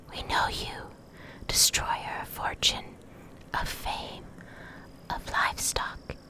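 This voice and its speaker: A woman reading in a soft, breathy whisper for ASMR, in short deliberate phrases with pauses, close to the microphone. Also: rough recording. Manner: slow, deliberate, pausing between short phrases. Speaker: ASMR video creator reading game lore. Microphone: Blue Yeti desktop microphone, close up.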